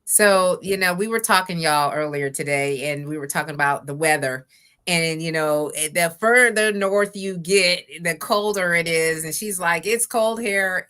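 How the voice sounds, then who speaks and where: A woman's voice: sits low, pushed and bright. A woman hosting a talk show, interviewing in a chatty, conversational way and addressing viewers directly. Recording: live online stream.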